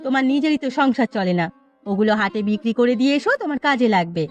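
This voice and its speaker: A woman's voice: on the high side, lively intonation, racing, dull audio, faint music behind, natural voice. A woman voicing a caring, chatty village mother with a fussing, homely manner in a cartoon story. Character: caring village mother, young niece, mildly exasperated.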